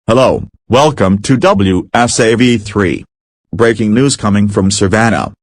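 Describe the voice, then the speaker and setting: A man's voice: fairly low pitch, a little slow, dry recording, studio quality. A man playing a serious local TV anchor reading a scripted breaking news report.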